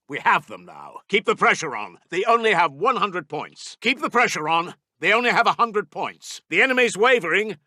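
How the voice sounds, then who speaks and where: A man's voice: high-pitched, pushed and bright, no room sound, clean studio sound, heavily acted. A man playing an urgent British Second World War intelligence officer for a video game, giving clipped, emphatic military reports. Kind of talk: video game voice lines, wartime battle reports.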